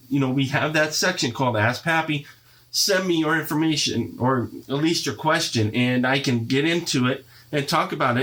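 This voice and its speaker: A man's voice: on the high side, fairly bright tone. Stuffy-nosed man hosting a chatty podcast. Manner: chatty, playfully boastful, many fillers. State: congested, stuffy nose. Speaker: podcast host. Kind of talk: casual solo podcast monologue.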